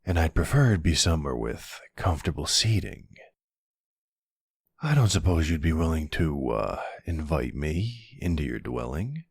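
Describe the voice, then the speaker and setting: A man's voice: extremely low pitch, very animated, moderate pace, vocal fry, breathy edge, dry recording, studio quality, heavily acted. A man playing a flirty, smug vampire in an intimate ASMR roleplay, with a teasing, sardonic and seductive manner. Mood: playful, smug. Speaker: audio roleplay voice actor.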